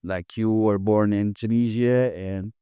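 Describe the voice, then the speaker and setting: A man's voice: lowish voice, steady tone, a little slow, dark tone, clear, resonant tone, no echo. A man hosting an interview podcast, talking casually with many fillers and restarts. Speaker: podcast host.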